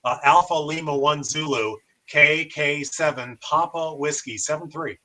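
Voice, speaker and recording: A man's voice: on the high side, measured pace, heavy background noise. An American man hosting a casual amateur radio demonstration and chatting as the operator.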